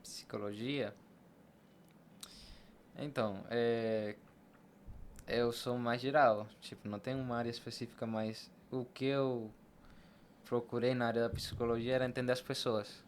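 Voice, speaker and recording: A man's voice: mid-range voice, steady tone, a little slow, pauses often. A man, a Spanish speaker, talking casually and explaining things with examples as an interview guest. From Venezuela. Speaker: podcast guest, barber. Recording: noticeable background noise and ambience.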